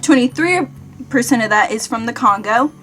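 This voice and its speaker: A young American woman chatting casually to viewers in an animated, self-deprecating way, like a vlogger. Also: fairly high pitch, normal speed. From Kentucky, United States. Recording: music behind the voice.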